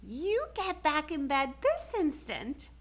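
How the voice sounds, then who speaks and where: A woman's voice: high-pitched, wildly swooping pitch, slow, pitched above the performer's own voice, full character voice. A woman voicing an alarmed, bossy mother in a children's storybook, exclaiming and fussing. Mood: stern. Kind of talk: storybook read-aloud.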